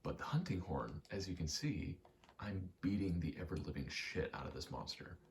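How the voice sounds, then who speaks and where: A soft-spoken man giving rambling ASMR game commentary, halting and casually profane, often slipping into a whisper. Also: low-pitched, lively intonation, slight background noise. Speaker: gaming video creator.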